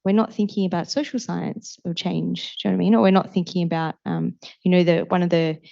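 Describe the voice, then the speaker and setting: Low-voiced woman speaking on a panel. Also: steady tone, quick pace, gravelly, warm tone. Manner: earnest, loose with fillers. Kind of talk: panel discussion answer. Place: seminar hall before an audience. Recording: muffled lo-fi event recording.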